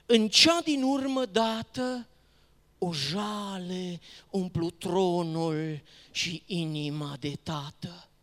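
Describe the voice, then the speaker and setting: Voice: medium pitch, fairly bright tone. Long echoing hall reverb, solemn dramatic verse recitation, declamatory delivery, mid-range voice. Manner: solemn, declamatory, with rhetorical questions and exclamations. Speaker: poetry reciter.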